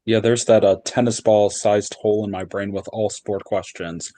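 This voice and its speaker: Bright, low-voiced man answering quiz questions. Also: little pitch movement, moderate pace. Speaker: quiz contestant. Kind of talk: casual, thinking aloud.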